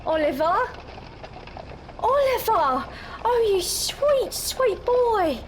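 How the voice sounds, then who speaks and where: A woman playing a reluctant, soft-hearted young London thief in an audio drama, with a weary, pleading manner. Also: very high voice, sing-song, extremely slow, slight background noise, exaggerated voice, poor audio. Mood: sugary, coaxing.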